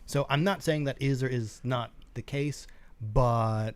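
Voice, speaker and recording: A man's voice: mid-range voice, measured pace. A man co-hosting a tabletop gaming show, giving casual, opinionated commentary in a blunt, skeptical way.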